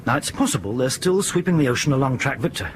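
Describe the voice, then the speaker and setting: Brisk, impatient airline captain played by an actor. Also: male voice, medium pitch, very animated, a little hiss or hum, lightly acted. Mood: concerned. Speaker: actor in a radio drama. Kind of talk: radio drama acting.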